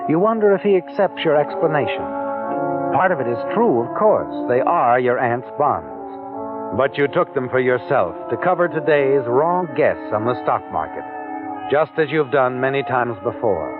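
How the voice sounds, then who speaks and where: A man tells a dark radio mystery with a lively, swooping delivery. Music plays under his voice, and the sound is thin and tinny, like an old broadcast. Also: mid-range voice, normal speed. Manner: ominous, addressing the listener as you. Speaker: narrator. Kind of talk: 1940s radio drama narration.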